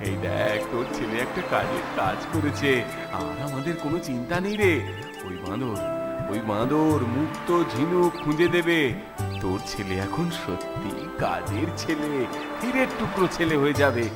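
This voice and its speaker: A man voicing a stingy, excitable village master in a cartoon story, grumbling and repeating his words. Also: mid-range voice, moderate pace, loud music behind the voice. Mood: delighted. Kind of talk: Bengali cartoon story acting.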